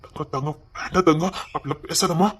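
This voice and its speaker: A man's voice: sits high, animated, quick pace, pushed and bright, slightly rough, heavily acted. An actor playing a doting elder man who pleads with and soothes his girl in a TV serial drama. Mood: hushed pleading. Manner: pleading, soothing, pet names over and over.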